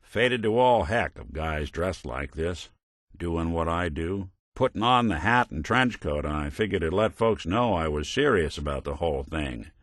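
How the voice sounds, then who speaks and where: Weary robot detective from a video game, low voice that moves around a lot, wry folksy noir slang, decent at heart. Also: male voice, expressive, unhurried, a bit bright, no echo, studio quality. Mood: warm, wry. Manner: wry, folksy hardboiled drawl with old-fashioned slang. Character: world-weary, principled male android detective, old-time noir type.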